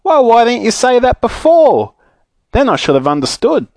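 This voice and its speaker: A man voicing an excitable, muddled old man who mishears and gets easily vexed, in a children's story. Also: very high voice, moderate pace, vocal fry, no echo, studio quality, pitched above the performer's own voice, full character voice. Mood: befuddled.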